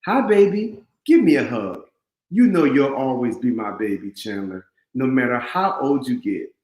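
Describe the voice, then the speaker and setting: A man plays a doting storybook mom who fondly teases the young child. The manner is affectionate and playful. The recording has a clear room echo. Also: medium pitch, expressive, moderate pace, darkish tone, natural voice. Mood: affectionate teasing. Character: doting, teasing mother of a young boy. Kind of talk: read-aloud children's storybook. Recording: roomy echo.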